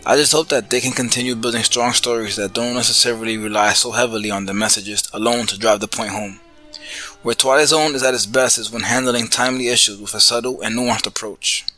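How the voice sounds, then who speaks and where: A man's voice: mid-range voice, fairly even intonation, brisk, sharp tone, soft background music, rough recording. A man from the Bronx sending in a prepared spoken review as a podcast listener, articulate and formally worded. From the Bronx, New York, United States.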